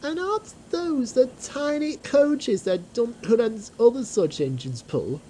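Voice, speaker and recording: A woman's voice: a little high, animated, a little slow, dark tone, a little hiss or hum, pitched above the performer's own voice. A woman voicing a vain, haughty male steam engine in a children's audio drama, complaining and exclaiming. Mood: mocking.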